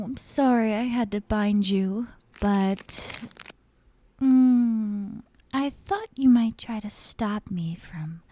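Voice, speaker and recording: A woman voicing a possessive, dominant yandere captor in an ASMR roleplay, breathy and often whispering, sweetly menacing and coy, close to the microphone. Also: fairly high pitch, dark tone, dry recording. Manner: slow, intimate, teasing, coy.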